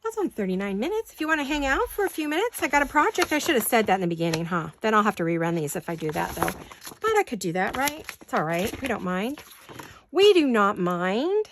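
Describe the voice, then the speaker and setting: A woman with a fairly high voice chats her way through a craft project as a vlog host. She rambles and thinks out loud, dropping in folksy, playful exclamations. Faint noise and small clicks sit behind her in a home studio recording. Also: very animated, fast. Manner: chatty, rambling, thinking aloud. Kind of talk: casual craft-with-me chat.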